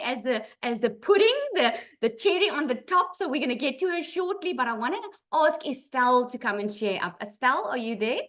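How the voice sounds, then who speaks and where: A South African woman with a fairly high voice hosts an informal testimonial session. Her delivery is effusive and a little halting. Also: fairly bright tone. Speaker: host of an online group session.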